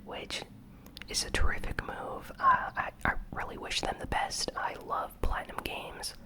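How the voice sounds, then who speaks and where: A host whispering an ASMR news recap in a breathy, unvoiced voice, with casual, informal commentary and unhurried, hesitant phrasing. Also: pushed and bright. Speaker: host of a gaming news channel.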